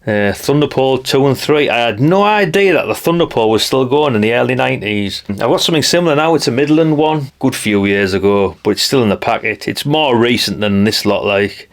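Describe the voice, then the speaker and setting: A man's voice: fairly low pitch, vocal fry. A middle-aged man with a North East English accent, giving casual, rambling commentary in a chatty, matter-of-fact way, often ending remarks with tag questions. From North East England. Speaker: vlogger.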